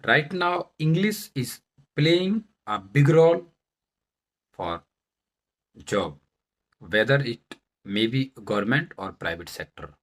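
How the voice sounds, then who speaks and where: A man with an Indian accent whose first language is Bundelkhandi addresses viewers in a mid-pitched voice. He speaks slowly and deliberately, breaking sentences into short chunks and leaning on key words. The style is that of a personal introduction to camera. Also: lively intonation, fairly bright tone, low-quality recording. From Madhya Pradesh, India. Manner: deliberate, halting phrasing with stressed words. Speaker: vlogger, English learner turned presenter. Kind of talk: self-introduction talk to camera.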